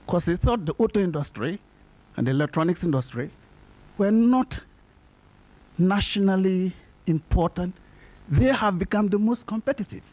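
A man in his fifties with a Ghanaian accent, commenting at a conference in an emphatic, deliberate way. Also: sits high, darkish tone. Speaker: conference participant. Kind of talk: spoken comment at a panel discussion. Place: indoor conference meeting room. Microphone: handheld microphone held close.

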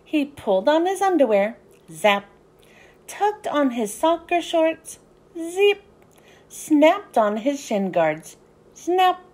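A woman reading a children's storybook aloud in an animated, sing-song way with deliberate phrasing. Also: high voice. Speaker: children's book read-aloud host. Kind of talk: expressive storybook narration for young children.

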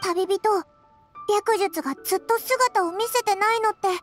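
A tiny, excitable fairy sidekick from an anime game, talks bluntly and casually. The voice is extremely high and squeaky, with faint music behind it. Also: female voice, normal speed. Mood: curious, excitable.

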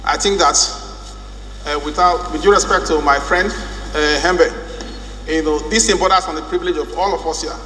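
A man's voice: on the high side, steady tone, moderate pace, bright, forceful tone. A man with a Nigerian accent giving a formal, emphatic speech as a lawmaker, repeating phrases for weight. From Rivers State, Nigeria. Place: large indoor legislative chamber. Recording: echoey hall with hum.

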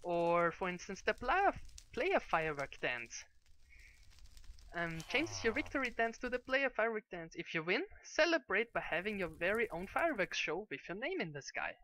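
A woman's voice: medium pitch, very animated, moderate pace, a bit bright, a little hiss or hum, low-quality recording. A woman giving casual showcase commentary as host of a gaming video. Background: music.

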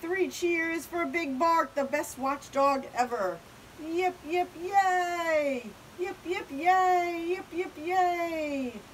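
A woman voicing an excitable little prairie dog from a storybook crowd, exclaiming emphatically. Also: sits high, measured pace, long pauses, full character voice. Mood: cheering. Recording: hissy background noise.

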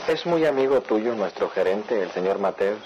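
A man's voice: on the low side, lively intonation, normal speed, darkish tone, natural voice. Man playing timid obedient son, subdued, radio drama, dull slightly noisy recording. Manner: subdued, hesitant. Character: timid, obedient son hiding trouble from his father. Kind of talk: radionovela acting.

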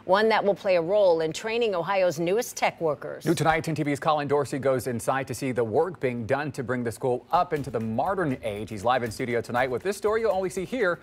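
A woman's voice: low voice, lively intonation, brisk, few pauses. Polished woman news anchor. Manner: crisp, polished anchor read. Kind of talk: scripted news presenting.

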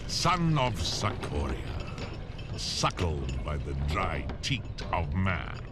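A man's voice: sits low, huge pitch swings, heavy background noise, heavily acted. An actor playing a mocking demonic overlord in a video game, grandiose and contemptuous as he taunts. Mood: scornful taunting. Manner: slow, taunting, grandiose delivery. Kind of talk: video game villain acting.

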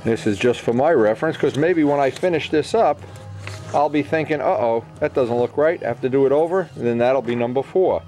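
A middle-aged man gives an informal craft demonstration at a workbench. His voice sits at an average male pitch, and he explains each step in short phrases, as if working it out aloud. Music plays behind him. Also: fairly quick, a little mellow. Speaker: model airplane builder giving a tutorial. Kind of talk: informal hands-on workshop demonstration. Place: indoor workbench.

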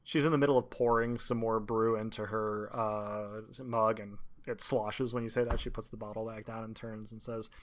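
A man narrating a tabletop roleplaying game as game master, improvising with a halting, hesitant delivery. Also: lowish voice, steady tone.

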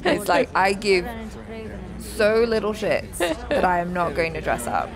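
A woman's voice: lowish voice, very animated, measured pace, crowd noise. A woman chatting casually as she reacts to a video game, exclamatory and playful, with some mild swearing. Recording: game music and sound behind. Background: video game soundtrack.

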